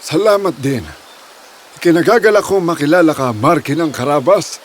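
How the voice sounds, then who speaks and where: A man voicing a gracious, commanding king in an animated fairy tale, stately and declamatory. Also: a little high, normal speed, a little hiss or hum, lightly acted. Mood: pleased, gracious. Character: hearty, gracious storybook king, grateful and commanding. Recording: music under the voice.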